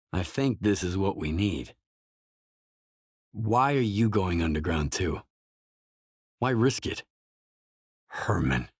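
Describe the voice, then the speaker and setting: An actor playing a gruff, guarded, weary male video game protagonist, speaking terse, measured scripted lines in a husky voice. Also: fairly low pitch, no echo, clean studio sound.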